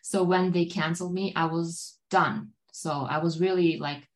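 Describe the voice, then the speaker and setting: A woman's voice: lowish voice, fairly even intonation, unhurried. Rambling woman. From Germany. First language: German. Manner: rambling, filler-heavy storytelling with many "like"s. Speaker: guest author. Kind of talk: casual podcast chat.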